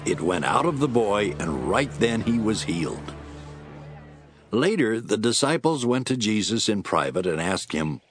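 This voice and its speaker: A man's voice: on the low side, big pitch swings, unhurried. A man giving scripted narration of scripture as the narrator of an audio drama. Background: music.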